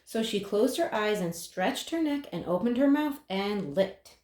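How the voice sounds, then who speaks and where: A woman reading a children's picture book aloud in an expressive way, with dramatic pauses. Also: medium pitch. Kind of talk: children's story read-aloud. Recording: roomy, echoing sound.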